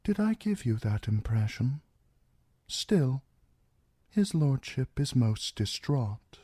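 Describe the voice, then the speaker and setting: A man voicing a cold, soft-spoken older lord who murmurs everything mildly. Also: medium pitch, very animated, takes it slowly, slightly rough, no room sound, studio quality, voice pushed up, heavily acted. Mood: mild, cool.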